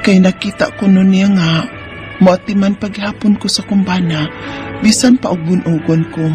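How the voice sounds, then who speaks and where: A woman's voice: medium pitch, steady tone, normal speed, music in the background, close to an everyday voice. A woman with a Cebuano Bisaya accent playing a married mother, teasing yet guarded, in a radio drama with first-person narration. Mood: confiding, narrating.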